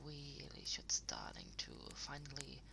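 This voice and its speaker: Cozy female partner voiced by a woman for intimate audio roleplay; low, creaky voice, soft unhurried rambling, affectionate. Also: huge pitch swings, background noise, heavily acted. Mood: cozy, coaxing. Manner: soft, breathy, hesitant rambling with trailing pauses. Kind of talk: intimate unscripted audio roleplay, spoken to the listener.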